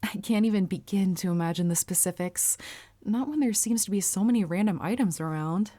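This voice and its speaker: A woman playing a polite, earnest, bashful young anime heroine with a crush, speaking formally and gently gushing in a roleplay audio. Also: medium pitch, brisk, lightly acted. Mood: warm, curious. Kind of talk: anime character roleplay audio, addressed to listener.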